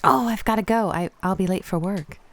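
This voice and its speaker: A woman's voice: mid-range voice, brisk, no room sound, close to an everyday voice. A woman playing a confident, teasing young Japanese woman diver in a radio play. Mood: teasing, warm.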